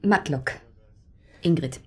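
A woman's voice: sits low, slow pace, warm tone. An actress in a radio drama playing a shy, polite female hotel guest with a hesitant, slightly flustered manner. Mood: hesitant, embarrassed.

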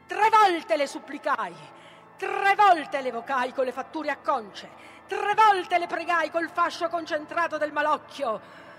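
A woman playing a vengeful, tormented mythical sorceress in a declamatory theatrical monologue, incantatory and swinging between hushed and loud. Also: high voice. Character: Medea, vengeful, savage, tormented sorceress of Greek myth. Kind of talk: theatrical dramatic monologue, stage reading.

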